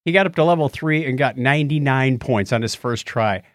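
Male podcast co-host, mid-range voice, playful and animated, dry recording. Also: mid-range voice, fairly quick, no echo. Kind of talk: lighthearted tech show banter.

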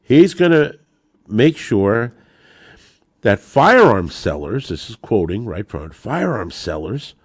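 An American man hosts a political podcast in a fairly low voice. His delivery swings widely in pitch as he makes emphatic, rhetorical points straight to the listener. The sound is dry and close. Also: very animated, takes it slowly, slightly rough, dry recording. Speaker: podcast host. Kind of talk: political commentary on gun law.

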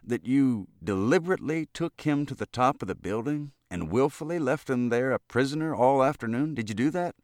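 A man voicing a dignified state governor in a storybook, formal and measured with a dry edge. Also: mid-range voice, expressive, darkish tone, no echo, voice pushed up, close to an everyday voice. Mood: stern, judicial.

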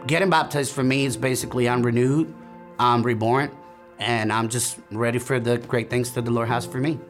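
A young man from El Salvador tells his story in an earnest, halting way, leaning on fillers as he goes. His voice sits at a middle pitch, and music plays clearly beneath him throughout. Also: steady tone. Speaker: church member giving testimony. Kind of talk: personal testimony, recorded interview. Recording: music bed under voice.

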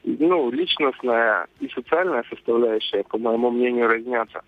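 A man's voice: medium pitch, normal speed, no echo. A man calling in to a radio show, speaking formally and earnestly. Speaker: caller. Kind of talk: call-in radio. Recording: dry phone line.

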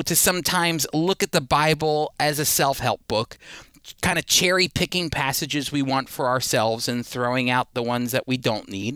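A man's voice: on the high side, bright, forceful tone, smooth, no echo. A man hosting and teaching the Bible in a conversational, earnest, emphatic way, speaking directly to listeners. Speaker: radio Bible teacher and host.

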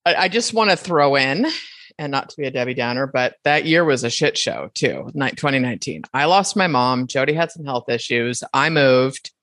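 Low-voiced, animated middle-aged woman. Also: normal speed, dry recording, clean studio sound. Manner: animated and candid, with many restarts. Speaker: co-host and business partner. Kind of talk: casual conversation.

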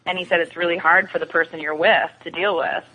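A woman's voice: fairly low pitch, fairly even intonation, fast, sharp tone, slightly rough. A woman giving her opinions in a casual, conversational TV discussion as a podcast guest. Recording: dull phone-like lo-fi connection.

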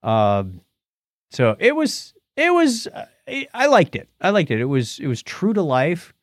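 Lively, higher-pitched male podcast host. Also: measured pace, no room sound, studio quality. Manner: jokey, informal asides. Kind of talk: casual podcast chat.

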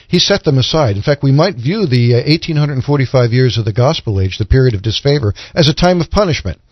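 A man co-hosting a radio Bible programme, explaining scripture in an earnest, measured teaching manner. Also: medium pitch, lively intonation, no echo, muffled recording. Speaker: radio Bible commentator and co-host. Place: radio studio.

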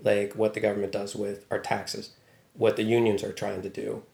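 A man's voice: on the low side, monotone, moderate pace, gravelly, a little mellow, reverberant. A man from Baltimore, talking casually and thoughtfully as an interview guest, with a wry tone and many fillers. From Baltimore, USA. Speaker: news writer, guest.

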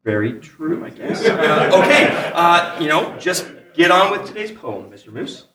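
A man's voice: fairly high pitch, very animated, unhurried, very noisy. A man announcing a live radio-style comedy show as the hesitant, polite straight man, often stammering. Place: comic shop, live before audience. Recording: echoey live room recording.

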